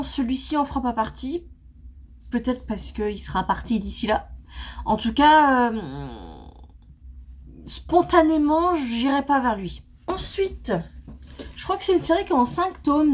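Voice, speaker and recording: A woman reviewing her manga in a casual, hesitant way, with many fillers and restarts. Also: a little high. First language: not English. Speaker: manga vlogger. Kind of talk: casual book review chat.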